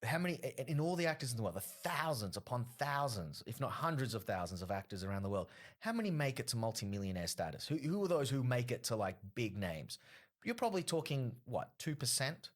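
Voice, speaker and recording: A man's voice: mid-range voice, sing-song, fairly quick. A man, a comedian guest telling long stories in a casual podcast interview, with many fillers. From Australia. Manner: rambling storyteller, frequent fillers.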